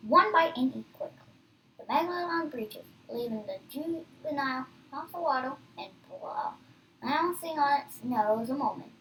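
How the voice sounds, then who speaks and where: A child reading a book aloud with casual asides, halting and stumbling over hard words. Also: high-pitched, bright, forceful tone, far from the mic, slight background noise, low-quality recording.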